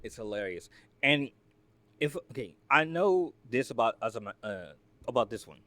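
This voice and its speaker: A man from the United States reacts in casual, rambling talk, full of fillers and asides. His pitch swings widely as he talks. The sound is dry and close, with no room around it. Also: normal speed, dry recording. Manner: rambling, filler-heavy, lively and chatty. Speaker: reaction video host.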